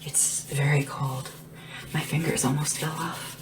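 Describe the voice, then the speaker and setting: A woman playing a gentle, devoted young sleep assistant in a fantasy ASMR roleplay, hushed and breathy, soothing and attentive. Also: sits low, fairly even intonation, far from the mic, noisy background. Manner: soothing, attentive, earnest, unhurried. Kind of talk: soft-spoken and whispered ASMR roleplay.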